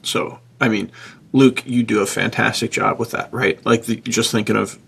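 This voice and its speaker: A man with a husky, gravelly voice, hosting a casual podcast discussion in an encouraging, opinionated manner. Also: fairly low pitch, fairly even intonation, moderate pace, fairly bright tone, slight background noise. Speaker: podcast host.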